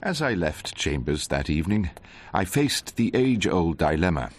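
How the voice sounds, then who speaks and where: An actor playing a sharp-witted, grandiloquent English barrister in radio drama, speaking with dry, theatrical eloquence. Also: male voice, low-pitched, big pitch swings, normal speed, a little hiss or hum. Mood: wry narration. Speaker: narrator and lead character. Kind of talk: radio drama narration and dialogue.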